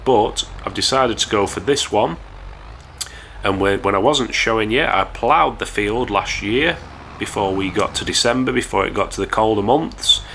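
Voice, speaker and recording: A young man from England with a hoarse voice from a cold, chatting casually to viewers while commentating a game. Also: fairly low pitch, a little hiss or hum. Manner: chatty, relaxed, talks straight to viewers. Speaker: gaming YouTuber.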